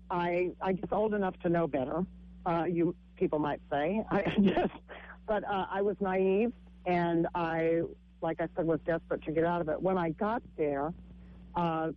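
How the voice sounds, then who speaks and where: A woman with a husky voice, a comic and author telling her story haltingly in a radio interview, with frequent restarts. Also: lo-fi sound. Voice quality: husky, low-sounding voice. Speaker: guest author and stand-up comic.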